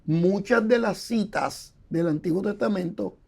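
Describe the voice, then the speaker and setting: A man's voice: sits high, unhurried, vocal fry, a little mellow, husky. An older man explaining scholarly points at length in a conversational interview, often repeating words and building his points with examples. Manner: explanatory, lecturing with examples and repetitions. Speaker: guest, Bible scholar.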